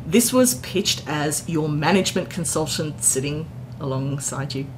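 Woman, forties, deep voice, keen explainer delivery, tech presenter, indoor room, noticeable rumbly noise. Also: measured pace. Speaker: tech content creator, presenter. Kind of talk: informal explainer recap to camera. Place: indoor hotel-style room. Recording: clear background noise with low rumble.

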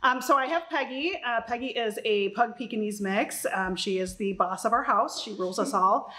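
A woman dog groomer introducing herself casually in a salon, chatty with small pauses. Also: on the high side, sharp tone.

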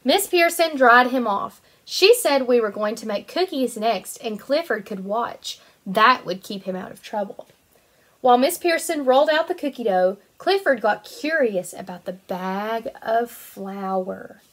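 A woman in her twenties, a kindergarten teacher reading a picture book aloud to young children in an animated, sing-song way. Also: fairly high pitch, unhurried. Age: 20s.

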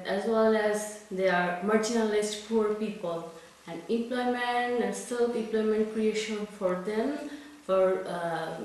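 A woman in her thirties from Bangladesh, a development project manager speaking slowly and haltingly to a camera, with many pauses and fillers. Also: medium pitch, darkish tone. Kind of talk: presentation to camera. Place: plain indoor room. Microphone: camera mic from a few feet away. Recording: echoey room, distant mic.

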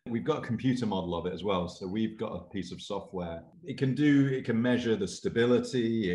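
Low-voiced rambling lecturer on a video call. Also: male voice. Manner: self-deprecating, rambling, animated with hand gestures. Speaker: university lecturer in naval architecture. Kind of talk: casual video call interview. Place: office with bookshelves, indoors. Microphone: laptop webcam video call.

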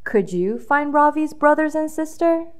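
A woman's voice: high voice, animated, measured pace. A woman reading a children's storybook aloud with dramatic emphasis, drawing out key words for young listeners.

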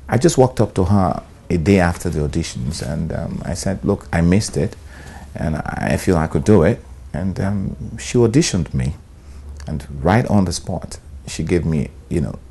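A middle-aged Nigerian man, an actor, talking in a sit-down interview, relaxed and hesitant, with many "um"s and "you know"s. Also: extremely low pitch, slightly rough. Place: bright studio room, indoors. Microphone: lapel.

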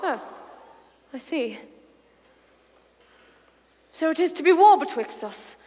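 High, haughty schoolboy rival, played by a woman. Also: expressive, slow pace, background noise. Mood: wounded, grave. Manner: pompous, theatrical, old-fashioned phrasing. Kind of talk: comic musical staged reading. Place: on stage in a large hall. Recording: echoey live hall, music behind.

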